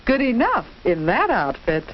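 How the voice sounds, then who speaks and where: A woman's voice: a little high, huge pitch swings, unhurried. A woman giving scripted, instructive narration in a 1940s educational film style, carefully enunciated. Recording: dull, noisy 1940s film soundtrack.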